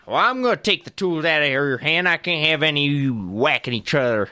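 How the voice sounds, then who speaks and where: A man's voice: on the high side, animated, fairly quick, pushed and bright, clean tone. A man playing a folksy, exasperated old west lawman keeping order in a comedic radio play. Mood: commanding.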